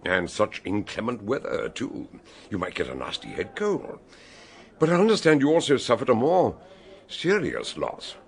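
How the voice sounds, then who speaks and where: A man playing an urbane, genial and inquisitive British gentleman detective with a wry, expansive delivery in a radio drama. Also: medium pitch, huge pitch swings, moderate pace, vocal fry, slightly rough, full character voice. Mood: jovial, wry. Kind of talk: radio drama acting and first-person narration.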